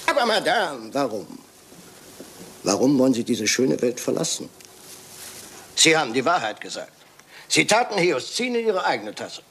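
An actor playing a courtly, shrewd private detective in a German radio crime drama, theatrical and probing. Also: male voice, mid-range voice, sing-song, normal speed, pauses often, a bit bright, a little hiss or hum. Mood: gallant, earnest.

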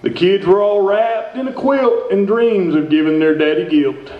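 Male actor as folksy redneck radio host, theatrical delivery, higher-pitched, dull live stage recording. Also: moderate pace, roomy sound, lightly acted. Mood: storytelling. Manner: theatrical, reading from a script. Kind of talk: live old-time radio show performance.

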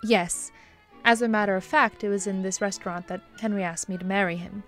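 An actress playing a kindly, refined bishop's wife, polite and hesitant, in a radio-style drama. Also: female voice, medium pitch, steady tone, brisk, faint music behind, close to an everyday voice. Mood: wistful.

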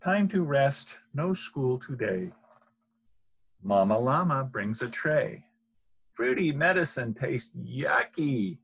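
A middle-aged man with a husky voice reads a children's picture book aloud in a deliberate, sing-song way. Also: mid-range voice, lively intonation, a bit bright. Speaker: storybook reader. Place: small indoor room.

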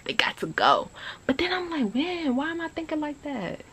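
Gravelly-voiced woman vlogging on a porch. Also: moderate pace. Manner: animated, chatty, rambling with lively pitch jumps. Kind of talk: casual chat to camera. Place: outdoors on a house porch. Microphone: phone camera at arm's length.

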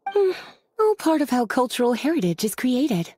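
A woman voicing a languid, knowing witch in an anime video game, with an unhurried, coy, teasing manner. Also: high-pitched, sing-song, dark tone, no echo.

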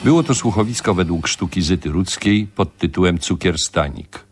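A man's voice: deep voice, moderate pace, noisy background. A man reading the closing credits of a radio play as a scripted announcement.

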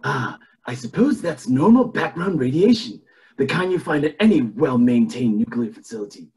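Man putting on a very raspy pompous old boss. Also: mid-range voice, very animated, normal speed, a little mellow. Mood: evasive. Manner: pompous, dismissive. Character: haughty scheming old cartoon plant boss.

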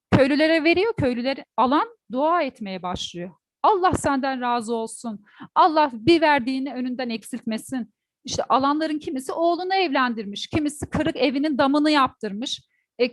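A woman tells a traditional folk tale in a high voice. She is expressive and addresses her listeners directly with questions. The sound is dry and close. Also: no room sound. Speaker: storyteller; teacher. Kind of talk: oral folk-tale storytelling to an audience.

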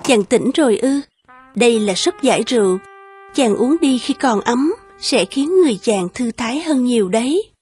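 Casting: woman to play devoted, yearning young lady for animated folktale, high voice, gentle deferential delivery. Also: moderate pace, soft background music, close to an everyday voice. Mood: gentle, attentive.

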